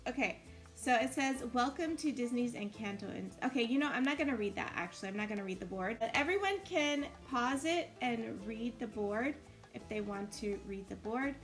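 Higher-pitched woman hosting a casual game tour. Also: animated, normal speed, faint music behind. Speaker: host of a family Roblox build tour.

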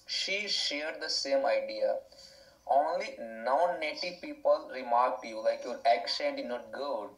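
A man's voice: mid-range voice, slow, a bit bright. Guy hosting a live stream on his phone, emphatic, middle voice, roomy echo. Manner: emphatic, gestures while talking. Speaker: host of live English-practice stream. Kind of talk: casual live-stream chat. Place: indoor room. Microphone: phone held at arm's length. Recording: echoey room.

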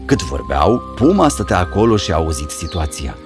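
A man's voice: sits low, big pitch swings, over music. A man reading scripted fairy tale narration as the storyteller.

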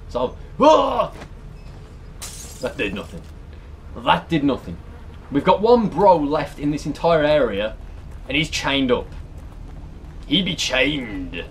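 Animated British man, sweary gamer. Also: medium pitch. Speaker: let's player. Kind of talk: casual reaction commentary over a horror game. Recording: clear background noise and game ambience.

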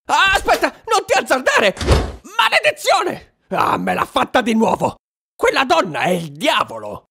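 A man's voice: very high-pitched, wildly swooping pitch, a little slow, creaky, bright, forceful tone, a little hiss or hum, exaggerated voice. A man voicing a small bratty demon, boastful, theatrical and indignant, in a cartoon comedy dub. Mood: outraged.

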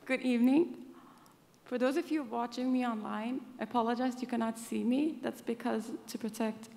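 A young woman from Afghanistan tells her story at an award ceremony, her voice sitting fairly high. She speaks earnestly and pauses often on fillers. The hall lends a long reverb tail. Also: steady tone, vocal fry, warm tone. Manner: earnest, halting with many fillers. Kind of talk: personal speech at a podium. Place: large event hall. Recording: hall reverb from a stage mic.

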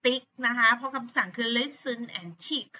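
Middle-aged Thai-accented woman teacher, bright, slightly high voice, patient and repetitive, lo-fi recording. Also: animated, slightly rough. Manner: patient, repeats words slowly, many fillers. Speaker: English teacher. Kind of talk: teaching vocabulary to pupils. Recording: dull lo-fi sound.

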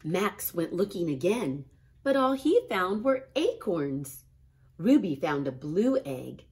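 A middle-aged woman, a kindergarten teacher, reading a picture book and chatting to children in an expressive style. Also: fairly low pitch, slow pace. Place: small classroom, indoors.

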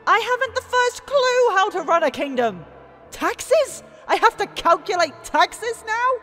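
A woman's voice: very high-pitched, very animated, a little slow, sharp tone, heavily acted. A woman with an English accent playing a proud, weary storyteller and reluctant regent, theatrical and hesitant, in a fantasy audio drama. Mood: flustered, exasperated. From England. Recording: reverberant hall with music.

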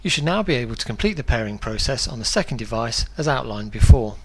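A man reading a scripted instructional product guide in a clear, step-by-step way. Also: medium pitch, animated, quick pace.

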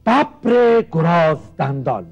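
Animated, high-voiced eccentric old man. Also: vocal fry, full character voice. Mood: emphatic. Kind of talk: televised stage drama acting. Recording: dull old TV audio, faint music.

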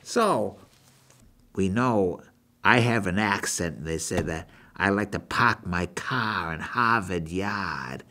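An older man with a New England accent talks as the host of a rambling monologue. His delivery is halting and folksy, and he often circles back over his own words. He speaks indoors in a small meeting room at a desk microphone. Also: deep voice, expressive, pushed and bright. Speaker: show host. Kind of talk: rambling monologue book review.

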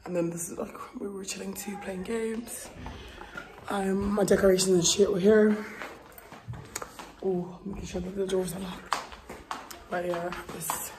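A young woman with a hoarse, worn-out voice talks casually as she walks through a big house and garden. Her tone sits at an ordinary middle height. She records on a phone held at arm's length, with a clear hiss of noise underneath. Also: mid-range voice, steady tone, measured pace, pauses often, warm tone, poor audio. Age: 20s. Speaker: vlogger. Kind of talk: casual house-tour vlog. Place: large house and garden, indoors and outdoors.

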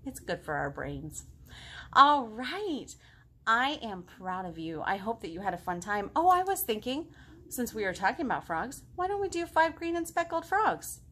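A woman's voice: fairly high pitch, big pitch swings, moderate pace, pushed and bright. A woman in her thirties hosting a children's storytime, speaking simply and encouragingly to young listeners. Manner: simple, encouraging talk addressed to small children. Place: living room at home.

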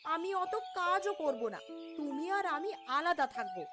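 A woman's voice: high voice, sing-song, unhurried. A woman voicing a stubborn, demanding young daughter-in-law in a cartoon, whiny and argumentative. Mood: defiant, stubborn. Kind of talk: Bengali cartoon voice acting. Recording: loud music behind the voice.

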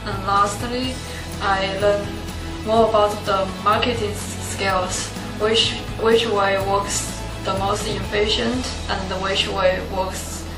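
Intern in her twenties, Chinese accent, methodical, mid-pitched, in a roomy office. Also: steady tone, takes it slowly. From China. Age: 20s. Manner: orderly, listing points. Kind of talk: short testimonial interview. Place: small office room indoors. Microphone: camera mic at short distance. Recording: roomy office with background music.

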